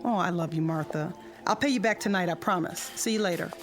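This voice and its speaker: A woman's voice: fairly low pitch, quick pace, over music, close to an everyday voice. An actress playing a chatty, easygoing middle-aged suburban wife and mother in a modern radio drama, with a breezy, colloquial manner. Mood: busy, affectionate.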